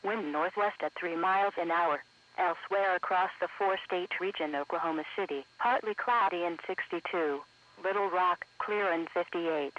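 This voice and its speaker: A man's voice: sits high, normal speed. A man reading scripted weather bulletins in a formal, segmented, automated way as a radio announcer. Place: radio broadcast captured for an online stream. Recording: muffled lo-fi weather radio broadcast.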